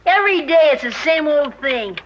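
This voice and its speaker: A woman's voice: high voice, lively intonation, normal speed, a little hiss or hum. An actress in a 1960s radio drama voicing a restless young boy who whines and complains. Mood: whiny. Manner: whiny, complaining. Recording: dull old radio recording.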